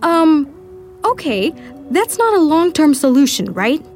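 An actress playing a pragmatic young lab scientist, brisk and informal, in an audio drama. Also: female voice, sits high, big pitch swings, a little mellow, soft background music. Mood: questioning. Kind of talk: full-cast audio drama acting.

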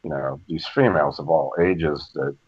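An older man with a deep voice, a podcast guest telling stories slowly and with many restarts. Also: husky. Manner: slow, measured storytelling with frequent restarts. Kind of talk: casual podcast conversation. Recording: dull lo-fi audio.

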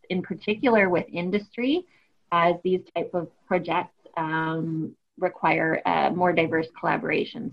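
A woman's voice: lowish voice, creaky. A Canadian woman in her thirties answering interview questions in a friendly, fluent way, with frequent ums. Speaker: grant proposal manager. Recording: muffled lo-fi audio.

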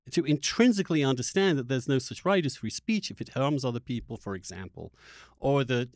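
A man hosting a conversational political discussion, speaking emphatically and haltingly with repeated words. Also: medium pitch, dry recording, clean studio sound. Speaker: podcast host and interviewer.

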